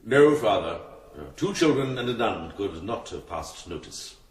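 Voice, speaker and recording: Deep-voiced, shrewd old-soldier monk. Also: male voice, animated, unhurried, husky, heavily acted. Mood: plain. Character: seasoned, worldly-wise monk herbalist, kindly and shrewd. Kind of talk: radio drama acting.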